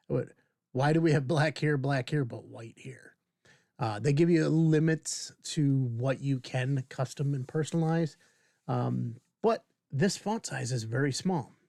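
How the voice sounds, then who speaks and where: A man's voice: mid-range voice, creaky, darkish tone, no room sound. Middle-aged man, dry close-miked tutorial voice. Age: 50s. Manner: informal, thinking aloud while demonstrating. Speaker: video tutorial host. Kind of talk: casual screen-share software tutorial.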